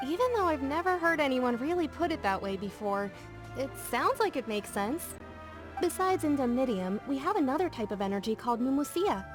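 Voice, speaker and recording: Game merchant: friendly, talkative craftswoman, high-pitched voice, light music underneath. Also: expressive, a little fast. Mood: explaining. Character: friendly, chatty female workshop merchant, practical and modest. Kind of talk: video game voice acting.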